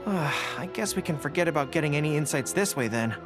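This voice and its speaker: A man's voice: a little high, expressive, fast talker, over music, lightly acted. A man voicing an earnest, dutiful young general in an English anime game, thinking aloud in formal military phrases. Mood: inquisitive. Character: earnest young male general, dutiful, eager, slightly flustered.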